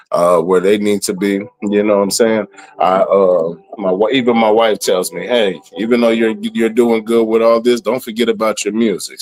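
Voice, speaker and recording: A raspy man in his thirties from the southern United States, talking about his music in a relaxed, rambling way. His voice sits a little low, and he often repeats words and says "you know" as he goes. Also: low-quality recording. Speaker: rapper and event planner. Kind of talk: casual interview.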